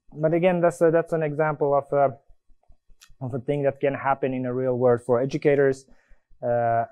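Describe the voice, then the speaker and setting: A man from Finland with a Finnish accent, presenting a live coding demo at a conference, talking in halting phrases full of restarts and fillers. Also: mid-range voice, fairly even intonation, warm tone, no room sound. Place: conference room.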